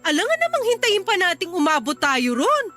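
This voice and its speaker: A woman playing an expressive young wife and mother in a radio drama, emphatic and full of pointed questions. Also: extremely high pitch, brisk, exaggerated voice. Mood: exasperated, reproachful. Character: exasperated young wife and mother, teasing then reproachful. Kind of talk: radio drama acting in dialogue. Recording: music under the voice. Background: background music.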